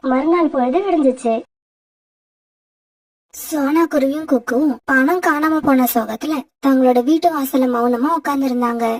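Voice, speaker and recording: A woman giving calm, scripted narration for a children's cartoon story. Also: high voice.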